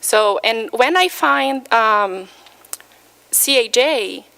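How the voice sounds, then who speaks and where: A woman from Brazil with a Brazilian Portuguese accent, speaking English as a second language, giving a talk in a careful, halting way. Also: a little high, animated, vocal fry, sharp tone, clear, resonant tone, low-quality recording. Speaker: patient partner speaker.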